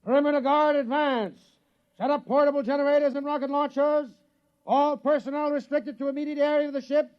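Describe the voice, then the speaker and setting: Stern, barking military inspector, very high voice, dull old radio drama recording. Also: male voice, steady tone, brisk. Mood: commanding. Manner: barking, formal. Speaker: radio actor.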